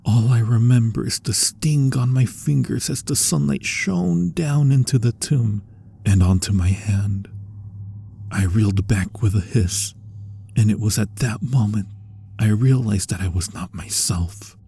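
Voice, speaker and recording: A man voicing an anguished vampire in a hushed, deliberate dramatic ASMR roleplay monologue, with pauses and breathy phrase endings. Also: on the low side, slight background noise. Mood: grieving. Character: anguished male vampire, formerly human, confessing to lost love.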